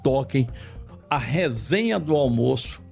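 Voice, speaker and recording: Man from bahia, gravelly voice, host, jokey and rambling, faint music behind. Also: medium pitch, animated, slow pace, darkish tone. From Bahia, Brazil. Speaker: talk show host. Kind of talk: casual talk show chat.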